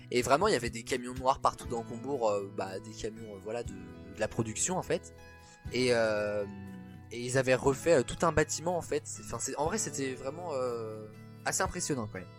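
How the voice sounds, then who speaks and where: Male quiz host, mid-pitched, casual and digressive, self-deprecating, with music in the mix. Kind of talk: casual, chatty quiz hosting.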